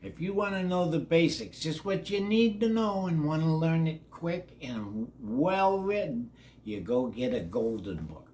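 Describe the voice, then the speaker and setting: Older man, slow grandfatherly storyteller. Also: a little high, very animated, darkish tone. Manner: grandfatherly, folksy, halting delivery addressed to kids. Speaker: storyteller host reading to children. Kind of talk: children's read-along with chatty asides.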